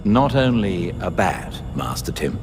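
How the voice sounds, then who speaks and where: A loyal older butler from a video game speaks in a deep, measured voice. He is formal and gently instructive, in a long hall reverb with music behind. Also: sing-song. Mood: calm, reassuring. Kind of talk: video game cutscene acting.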